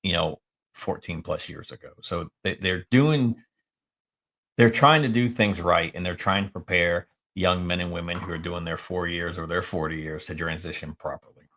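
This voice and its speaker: An American man co-hosting a podcast, with a very low, gravelly voice full of vocal fry. He talks emphatically in loose chat, tagging "right?" onto his points. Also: fairly even intonation, normal speed, a bit bright. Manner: emphatic, filler-heavy, ends points with "right?". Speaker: podcast co-host. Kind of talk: casual chat.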